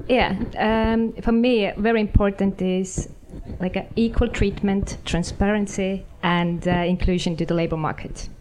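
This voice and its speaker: A woman with an Estonian accent, introducing herself on a panel in a halting way with many fillers. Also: mid-range voice, steady tone, darkish tone, a little hiss or hum, poor audio.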